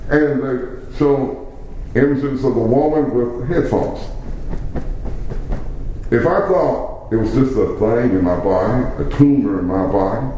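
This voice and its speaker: A middle-aged man preaching a sermon, slow and emphatic, with dramatic pauses. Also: mid-range voice, dark tone, a little hiss or hum. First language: English. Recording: very echoey room, faint background ambience.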